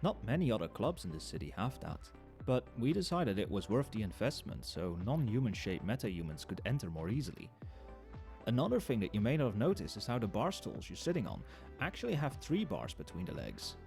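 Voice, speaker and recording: A man's voice: lowish voice, wildly swooping pitch, a little fast, gravelly, full character voice. A man playing a chatty, knowing nightclub barman in an ASMR roleplay, talking conversationally to the listener with wry understatement. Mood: pleased, explanatory. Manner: conversational, explanatory, with hesitations and understatement. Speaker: voice actor in an audio roleplay. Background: soft club music and nightclub ambience.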